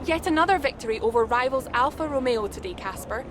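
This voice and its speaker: High-voiced trackside reporter. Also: female voice, animated. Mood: brisk, inquiring. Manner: brisk, professional. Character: brisk, probing female trackside TV reporter. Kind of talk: post-race interview. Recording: outdoor trackside background noise.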